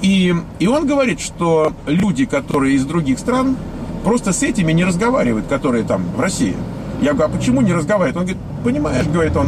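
A man in his fifties from the Soviet Union with a Russian accent, giving a blunt, scornful monologue to viewers, full of hesitations. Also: fairly high pitch. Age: 50s. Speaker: vlogger. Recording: loud outdoor traffic noise.

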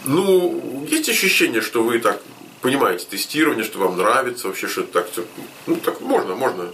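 A man hosting a casual interview, briskly prompting his guest with short questions. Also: medium pitch, expressive, a bit bright, recorded from a distance, slight background noise, low-quality recording. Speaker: interview host.

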